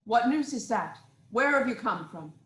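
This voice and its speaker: An actress playing a regal queen, an anxious but firmly reassuring wife, in a formal, deliberate reading of Greek tragedy. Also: fairly high pitch, lively intonation, a bit bright, reverberant. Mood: courteous, curious.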